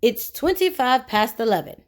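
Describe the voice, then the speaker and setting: An uppity rich gentleman from a storybook, acted out by a woman reader. He speaks emphatically and in clipped phrases, with lively swings in a lightish voice. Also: on the high side, moderate pace. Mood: flustered, apologetic. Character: uppity rich man, rude then learning manners.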